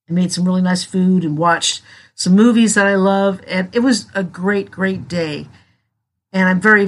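A woman hosting her own podcast in casual solo talk, husky and losing her voice from allergies, chatty and rambling with frequent laughs. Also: lowish voice, a bit bright. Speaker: podcast host.

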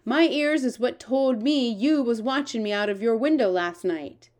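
An earnest, big-hearted giant from a children's storybook, acted out by a woman. He speaks in a raised, high-set pitch for a giant, with proud wonder and quaint, muddled grammar. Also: normal speed, darkish tone, close to an everyday voice. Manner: ungrammatical, quaint invented words, wonder-filled.

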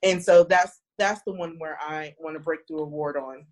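A woman guest in a casual studio chat, relaxed and quick to laugh. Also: low voice. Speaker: guest employee.

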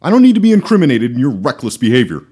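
A man playing a gruff, blunt middle-aged father in a comedy sketch, grumbling and scolding. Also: medium pitch, huge pitch swings, rapid-fire, warm tone. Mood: angry scolding.

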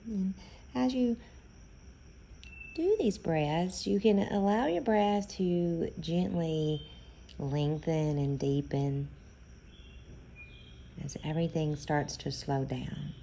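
A woman guides a meditation at a very slow, gentle pace, leaving pauses between phrases. Her voice is soft-edged and mellow, with a noticeable creak. The style is soothing instruction, unhurried throughout. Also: deep voice, a little hiss or hum.

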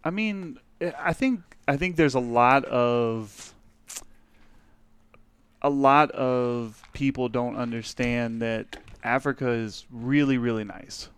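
A man's voice: mid-range voice, slow, clean tone. A man chatting casually as a guest, easygoing and agreeable, often laughing along. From United States. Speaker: guest, strength coach. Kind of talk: casual chat.

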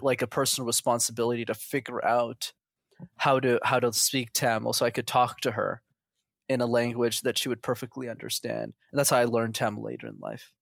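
A man's voice: medium pitch, gravelly, fairly bright tone, no room sound, clean studio sound. A man telling his story as an interview guest in a candid, chatty, casual way. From Montreal, Canada.

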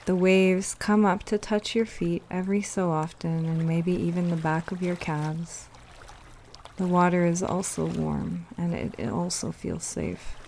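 Very slow-paced woman guiding meditation. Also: on the low side, vocal fry, darkish tone, a little hiss or hum. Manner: soothing, unhurried instruction with pauses. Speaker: meditation guide. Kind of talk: guided meditation.

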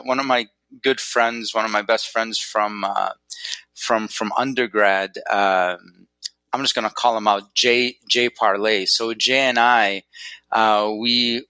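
Middle-aged male guest, bright lowish voice, rambling casual talk, dry recording. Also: fairly even intonation, measured pace, vocal fry, no room sound, rough recording. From Northwest Wisconsin, USA. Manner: chatty, frequent fillers and restarts. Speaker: guest, neurologist. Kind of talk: casual interview chat.

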